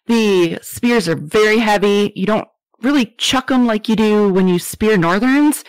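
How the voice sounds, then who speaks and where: A woman speaks in a casual, conversational way as an interview guest. She explains things eagerly in an average-pitched voice. The sound is dry, with very little room in it. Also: no room sound, poor audio. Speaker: podcast guest.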